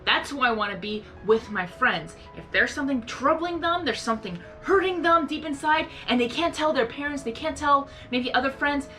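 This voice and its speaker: Earnest, rambling young woman, bright voice. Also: high-pitched, expressive, normal speed, hardly any pauses, faint music behind. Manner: rambling, earnest and soft-spoken, many fillers and restarts. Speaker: reaction video host. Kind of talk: casual reflective monologue.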